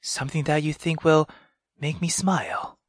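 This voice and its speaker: A performer voicing a gentle, fatherly nobleman who speaks in a soft, reassuring way in a visual novel. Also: medium pitch, fairly even intonation, normal speed, dry recording, studio quality, natural voice. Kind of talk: visual novel character read aloud.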